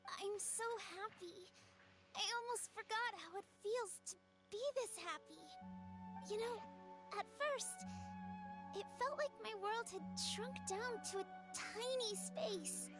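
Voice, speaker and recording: A woman voicing an earnest, wistful young girl full of wonder in a video game, speaking in a gently reflective way. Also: very high-pitched, takes it slowly. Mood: wistful, happy. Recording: game music behind the voice.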